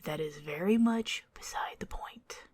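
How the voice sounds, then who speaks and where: A woman voicing a doting, wry, centuries-old female vampire in a whispered ASMR roleplay, breathy and formal in her old-fashioned phrasing. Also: low voice, measured pace, a little mellow. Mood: scolding, worried.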